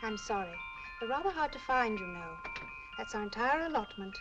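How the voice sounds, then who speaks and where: A woman's voice: a little high, animated, moderate pace, music in the background, natural voice. An actress playing a polite female shop clerk in a 1940s film, with genteel shopkeeper manners. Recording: dull old film soundtrack with clear hiss.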